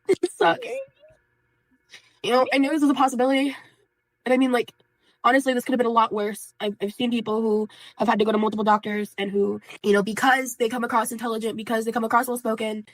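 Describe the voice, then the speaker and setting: A woman's voice: fairly high pitch, fairly even intonation, fairly quick, no room sound, low-quality recording. A tired woman rambling in a casual vlog, hesitant and full of fillers.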